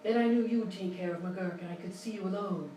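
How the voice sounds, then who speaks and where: Actress playing femme fatale, seductive, coy, radio detective spoof, distant echoey noisy stage recording. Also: female voice, mid-range voice, a little fast, warm tone, lightly acted. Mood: flirtatious, coy. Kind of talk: noir radio parody. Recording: echoey live room, distant mic, noisy.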